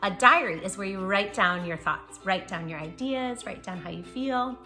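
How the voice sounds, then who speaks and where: A woman's voice: medium pitch, moderate pace, pushed and bright, faint music behind. A woman hosting a read-aloud for young children, speaking playfully and directly to the child listening, with emphatic storytelling delivery.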